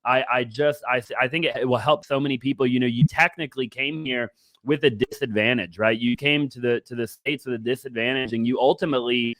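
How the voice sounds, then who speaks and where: A man hosts a casual interview, asking long, winding questions packed with you-knows and restarts. His voice sits at a middle pitch. The sound is dry and close. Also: fairly even intonation, fairly quick, a bit bright, no room sound, low-quality recording. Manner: rambling, stacks fillers and restarts. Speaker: podcast host.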